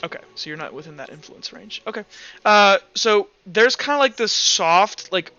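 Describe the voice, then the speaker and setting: A man narrating a tabletop roleplaying game as game master in an animated, casual, profane way. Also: high voice, normal speed, lo-fi sound, faint music behind.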